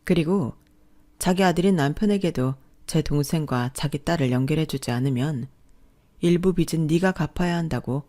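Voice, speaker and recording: A woman's voice: low voice, moderate pace, dark tone. A woman narrating a first-person family drama in an indignant, confiding tone. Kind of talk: scripted first-person storytelling.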